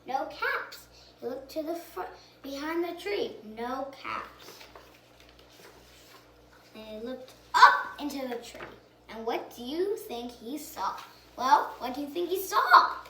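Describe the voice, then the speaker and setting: A female storyteller with a very high, bright voice reads a children's book aloud. Her delivery is animated, with little questions to the listener along the way. The room echoes around her. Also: measured pace. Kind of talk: expressive picture-book read-aloud with asides to the listener. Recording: roomy echo, faint background noise.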